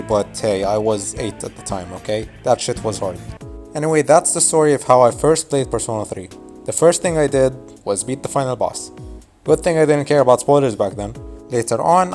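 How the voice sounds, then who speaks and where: A man's voice: medium pitch, a little mellow. Wry young man narrating a video essay. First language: Arabic. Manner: casual, wry, nostalgic. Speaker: YouTube narrator.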